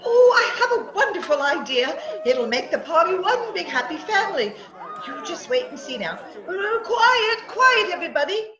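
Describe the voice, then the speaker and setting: An effusive, fluttery older mother acted by a woman, playing hostess in a radio play. The voice is very high and lively. It sounds echoey and a little muffled, as from a home video call. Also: moderate pace, crowd noise. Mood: gushing, excited. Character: gushing, sociable older mother hosting a party. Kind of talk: old-time radio comedy-drama acting. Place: actor's home, recorded over video call.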